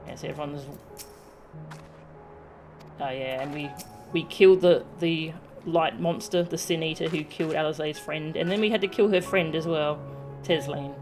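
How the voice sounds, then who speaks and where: A woman's voice: low voice, moderate pace, long pauses. A woman game streamer chatting casually with her viewers on a livestream. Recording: game music behind the voice. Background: video game music.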